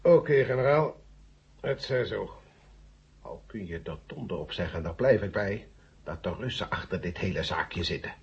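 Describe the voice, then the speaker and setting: A man's voice: low voice, animated, moderate pace, gravelly, background noise. An actor playing a stern, indignant space agency chairman in a 1970 radio drama, speaking formally and pompously. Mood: grudging, resigned. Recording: dull, muffled old radio recording.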